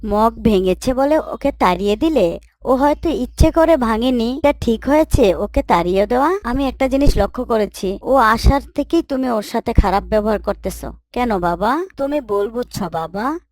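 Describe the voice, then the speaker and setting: A woman voicing a kind, pious mother in a moral cartoon, gently admonishing and instructive. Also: a little high, animated, moderate pace, no room sound, lightly acted. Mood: earnest, instructive. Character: kind, pious mother gently correcting her young son. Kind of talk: Islamic moral cartoon voice acting.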